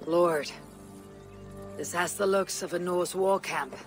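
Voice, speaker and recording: An actress playing a confident female Viking warrior from Norway in a game cutscene, calm and terse with a dry wit. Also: fairly low pitch, takes it slowly, soft background music. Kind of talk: video game cutscene acting.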